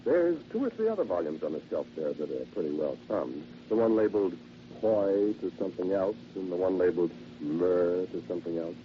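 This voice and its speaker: An actor playing a polite, halting, quietly sinister henpecked salesman in a theatrical vintage radio horror monologue. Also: deep voice, lively intonation. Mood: sly, insistent. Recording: noisy, dull vintage radio recording.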